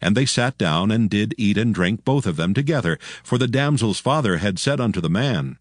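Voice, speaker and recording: Deep-voiced man narrating scripture. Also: expressive, moderate pace, no room sound, clean studio sound.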